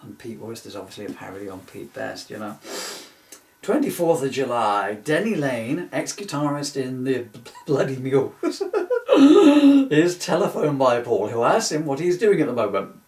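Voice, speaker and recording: A man's voice: medium pitch, expressive, moderate pace. A man giving an informal comic reading, rambling with asides and prone to giggling at his own material. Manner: rambling, often breaking into giggles at own jokes. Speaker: author reading his parody book. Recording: roomy echo, distant microphone.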